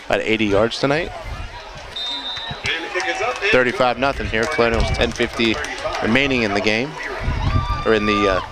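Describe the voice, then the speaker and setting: A man doing relaxed live play-by-play of a high school football game, joking and filling pauses with lots of "uh"s and restarts. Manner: easygoing, joking, many fillers and restarts. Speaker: play-by-play commentator. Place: outdoor football stadium. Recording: loud crowd and stadium noise. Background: crowd noise.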